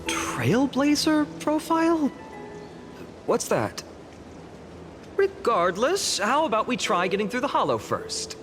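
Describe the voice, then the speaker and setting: An earnest, boyish young game hero. His pitch swings very widely, though his pacing is slow, and music plays behind him. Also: huge pitch swings, exaggerated voice. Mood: puzzled, hesitant. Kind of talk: video game voice acting. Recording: background music under the voice.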